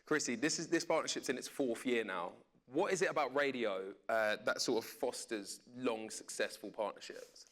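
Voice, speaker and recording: A man's voice: mid-range voice, normal speed, few pauses, fairly bright tone. A man hosting a live panel casually, in an informal, jokey, slangy way. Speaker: panel host, radio presenter. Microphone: event stage microphone.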